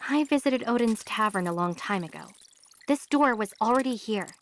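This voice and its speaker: A woman's voice: fairly high pitch, animated, normal speed, natural voice. An actress playing a young, determined female adventurer in an anime game, inquisitive and unimpressed. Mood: wry, curious. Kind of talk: English anime game voice acting.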